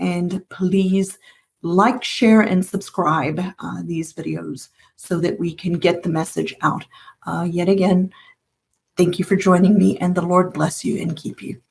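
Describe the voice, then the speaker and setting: A woman's voice: on the low side, darkish tone. Woman hosting an earnest podcast. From South Africa. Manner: earnest, explanatory, repeats key points. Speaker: podcast host. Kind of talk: solo educational podcast talk.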